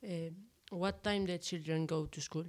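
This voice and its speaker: Mellow, lowish woman asking questions. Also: steady tone, unhurried. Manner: tentative non-native English. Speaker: student interviewer. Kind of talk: prepared interview questions.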